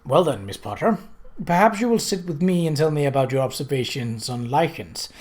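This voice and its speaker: A storybook reader voicing a polite, reserved gentleman scientist with an inquiring manner. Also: mid-range voice, animated, fairly quick, natural voice. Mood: politely curious.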